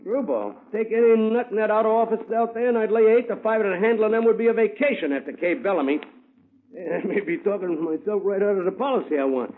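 A pushy male talent agent rattles off slang in a high, swooping voice. He sounds excitable and wheedling. The sound is tinny and narrow, like an old radio broadcast. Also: quick pace. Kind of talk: 1950s radio drama acting.

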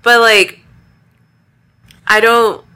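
A young woman with a high voice, a podcast guest who chats freely, laughs often and trails off mid-thought. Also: steady tone, extremely slow, bright, forceful tone, smooth. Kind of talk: casual podcast conversation.

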